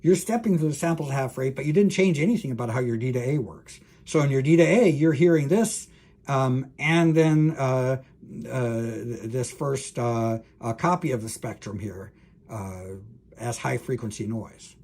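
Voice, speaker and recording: A man's voice: medium pitch, moderate pace, a little mellow, breathy edge. A man lecturing as a professor, explaining technical material informally, thinking aloud with frequent hesitations and self-corrections and speaking directly to the listener.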